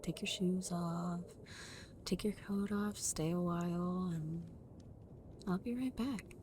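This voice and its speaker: A woman playing an affectionate, playfully teasing young friend in an ASMR roleplay, speaking in a soft, breathy, often whispered voice with a gentle, intimate manner. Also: lowish voice, takes it slowly, slight background noise. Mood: cozy, caring.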